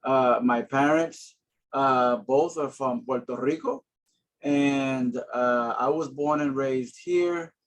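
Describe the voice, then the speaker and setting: A man's voice: mid-range voice, slow, slight background noise. Man, 40s, from Boston; earnest, friendly panelist on a video call, lots of fillers. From Boston, Massachusetts. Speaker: housing panelist. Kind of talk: webinar presentation.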